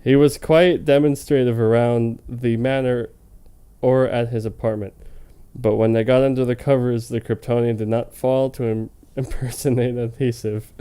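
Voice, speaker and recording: A man's voice: medium pitch, fairly even intonation, moderate pace, mellow, smooth. A man reading fan fiction aloud in an informal, comedic way, tripping over words now and then and prone to joking asides. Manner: breaks into laughter easily. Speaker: reader of fan fiction for a comedy web series.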